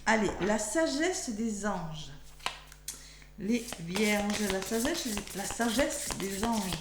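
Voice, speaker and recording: Hoarse French woman reading tarot cards. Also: mid-range voice, animated, slow pace. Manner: chatty, thinks aloud while drawing cards. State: losing her voice, talking softly. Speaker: tarot card reader. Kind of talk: weekly astrology card reading. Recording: echoey room with faint hum.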